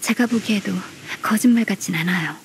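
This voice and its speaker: A woman voicing a polite, dutiful young secretary in an anime-style game, formal, measured and firm. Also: mid-range voice, a little hiss or hum, natural voice.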